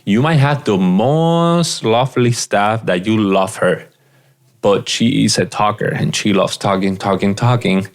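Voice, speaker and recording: A man's voice: fairly low pitch, unhurried. A man giving earnest, direct business advice as a practice coach, often repeating his points. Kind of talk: advice monologue.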